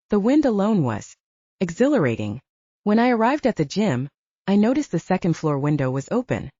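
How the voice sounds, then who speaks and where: A woman's voice: lowish voice, darkish tone, no room sound, dull audio. A woman reading first-person fiction as a scripted audiobook narrator.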